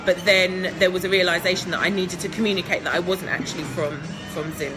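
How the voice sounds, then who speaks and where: A woman's voice: on the low side, steady tone, quick pace, over music. A woman from England, answering interview questions in a chatty, upbeat way, laughing often and filling with ums. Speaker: guest entrepreneur.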